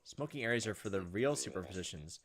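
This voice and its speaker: A man's voice: fairly low pitch, animated, quick pace. A man hosting gameplay, reading a visual novel aloud with casual commentary. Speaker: gameplay host. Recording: loud game music behind.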